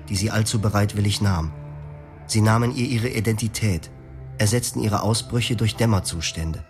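An actor in his twenties playing a brooding young husband who narrates calmly and reflectively, in a radio play with music underneath. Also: lowish voice, natural voice. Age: 20s. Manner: calm, reflective, poetic narration.

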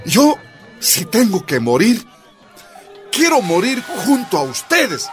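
Animated, gruff Bolivian husband. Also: male voice, sits high, slow, faint music behind, full character voice. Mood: defiant, challenging. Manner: emphatic, scolding. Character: gruff, defiant working-class husband challenging his wife. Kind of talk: radio drama acting.

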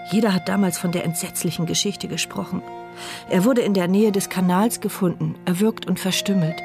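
A woman's inner monologue in a radio play, voiced by an actress, at a medium pitch. She is dry and sardonic, brooding over what she hears, with music underneath. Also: natural voice. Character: blind, mute woman's inner voice, wry, sceptical, brooding.

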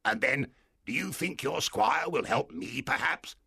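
A man voicing a wild, excitable old castaway who pleads eagerly in rising exclamations, with a raspy, gravelly voice. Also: a little high, measured pace, no room sound, clean studio sound, voice pushed up, full character voice.

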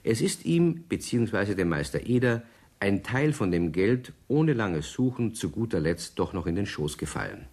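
A man playing the easygoing narrator of a German children's radio story, with a husky voice. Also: on the low side, sing-song, normal speed, a little mellow. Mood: storytelling.

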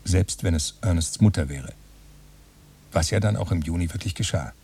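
An actor playing a dry, pedantic narrator in a literary radio play, laying out details matter-of-factly. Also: male voice, low-pitched, normal speed, vocal fry, warm tone, husky, far from the mic, full character voice. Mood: dry, reckoning. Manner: matter-of-fact, enumerating. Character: dry, pedantic male narrator who counts and reckons everything.

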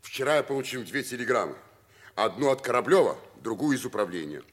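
A fatherly old fellow in a Soviet-era radio play. The voice is mid-pitched and husky, with lively, theatrical rises and falls and a kindly, encouraging manner. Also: fairly quick. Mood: confiding. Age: older. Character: kindly, fatherly old man, mentor to a pilot.

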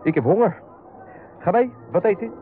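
An actor playing an easygoing, slow-witted sidekick in a radio comedy, hesitant and deadpan with many halting ehs. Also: male voice, high voice, over loud music. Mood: deadpan, indifferent. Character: laconic, easygoing male sidekick, slow to notice. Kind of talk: radio comedy serial acting. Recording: tinny narrow-band radio recording, noisy outdoor ambience.

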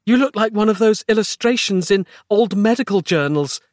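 A man's voice: high-pitched, lively intonation, normal speed, no echo, studio quality, pitched above the performer's own voice. A man voicing an urgent, exasperated woman in a comic horror story, with breathless melodrama. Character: panicky, exasperated woman, a horrified colleague and rescuer.

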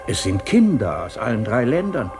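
An actor in a German radio play, playing a grave courtier who reports in earnest, declamatory tones. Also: male voice, medium pitch, sing-song, moderate pace, over music, natural voice. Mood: grave, reporting.

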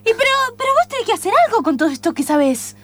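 A woman with an Argentine accent playing an excitable, exclamatory, insistent bicycle customer in improvised radio theatre. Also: very high-pitched, racing, slight background noise. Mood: astonished, insistent.

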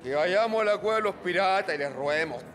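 A man's voice: high voice, animated, fast, fairly bright tone. A man acting a bold, scheming Chilean villager in a radio play, with a declamatory delivery. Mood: rousing. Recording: noisy outdoor-sounding background.

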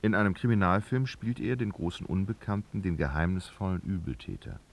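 A man's voice: low voice, lively intonation, a little fast, vocal fry, exaggerated voice. A man narrating a literary radio drama, reading expressively and dramatically.

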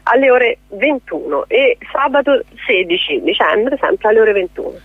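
A woman's voice: on the high side, lively intonation, normal speed, husky, no echo. A woman in her forties chatting casually as an interview guest, self-deprecating and joking, with a digressive way of talking. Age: 40s. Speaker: theatre playwright, director and actress, interview guest. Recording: band-limited, telephone-like radio sound.

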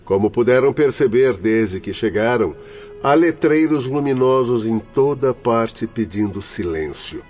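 A man's voice: mid-range voice, expressive, measured pace, darkish tone, lightly acted. A man plays a firm, admonishing Brazilian hospital attendant in a radio drama. His mid-range voice is solemn and formal. Faint music sits behind the dull recording.

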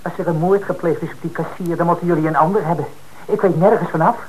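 A man's voice: fairly high pitch, steady tone, quick pace, a little mellow, slight background noise, lightly acted, low-quality recording. An actor playing a defiant male suspect who protests his innocence under interrogation in a radio drama. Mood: indignant.

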